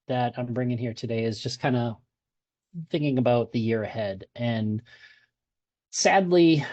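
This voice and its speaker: A man's voice: mid-range voice, a little mellow, dull audio. A man with a Boston accent giving a conversational presentation at a user group meetup, with frequent fillers and restarts.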